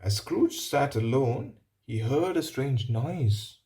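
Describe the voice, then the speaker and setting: Slow, animated man reading a storybook. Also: medium pitch. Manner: explains simply, adds checking "yeah?". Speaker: storybook reader. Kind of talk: picture book read-aloud with explanatory asides.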